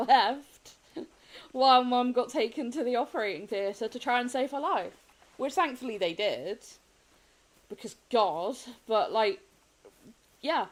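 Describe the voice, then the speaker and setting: Woman, sniffly, casual gaming-stream chat, rambling, soft laughs. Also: on the high side.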